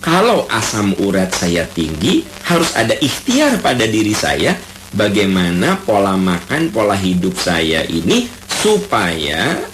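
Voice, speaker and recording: A man with a Sundanese accent preaching on the radio in a low, animated voice that rises and falls widely. He teaches by repeating key terms and reciting Arabic verses. The sound is roomy, with faint ambience behind him. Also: a little slow, slight background noise. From Bandung, Indonesia. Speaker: Islamic preacher. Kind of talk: radio sermon.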